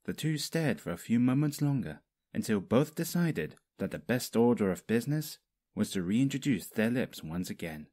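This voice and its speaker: A man's voice: mid-range voice, big pitch swings, moderate pace, warm tone. A man reading a story aloud as a scripted narrator. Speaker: fanfiction narrator.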